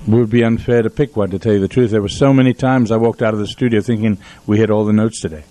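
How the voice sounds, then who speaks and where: A man's voice: fairly low pitch, steady tone, fast talker, warm tone, no room sound. A man hosting a radio show, chatting casually and reflectively in a studio.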